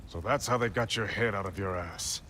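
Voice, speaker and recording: A man's voice: low-pitched, fairly quick, bright, forceful tone, slight background noise. A man playing a proud, stern boar shapeshifter in human form in a video game, speaking in a blunt, formal, dry manner. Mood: scornful. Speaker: game character, exiled advisor. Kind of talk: video game cinematic acting.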